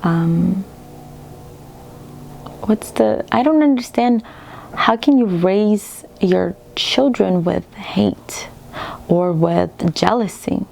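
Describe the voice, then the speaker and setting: Creaky-voiced Ukrainian woman vlogging. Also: medium pitch, extremely slow, pauses often, a little mellow, low-quality recording. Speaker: needlework vlogger. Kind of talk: casual personal vlog chat. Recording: clearly audible background room noise.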